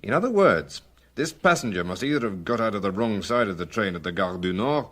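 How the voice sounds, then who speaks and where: An actor playing a methodical, respectful male police superintendent, giving formal, measured reports in a British radio crime drama. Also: fairly low pitch, lively intonation, a bit bright. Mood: methodical, reporting.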